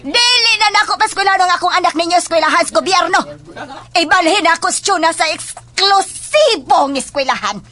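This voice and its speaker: A woman's voice: extremely high pitch, brisk, bright, forceful tone. A woman playing a haughty, furious rich mother who scolds with contempt in a melodramatic audio drama.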